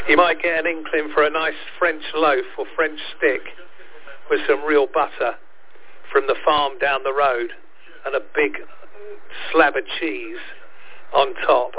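A man with a croaky voice hosting a casual amateur radio chat, friendly and hesitant with fillers. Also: a little high, fairly even intonation, slow pace, long pauses. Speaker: radio net host. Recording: tinny radio link with faint hiss.

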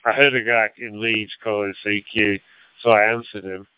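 An older man with a Lancashire accent, an amateur radio operator chatting in an easygoing, halting way over a radio link. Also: lowish voice, level tone, clear, resonant tone, dry recording. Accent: Lancashire English. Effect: two-way radio. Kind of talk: casual radio chat with anecdotes and call signs. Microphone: radio transceiver microphone. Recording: phone-like digital radio gateway link.

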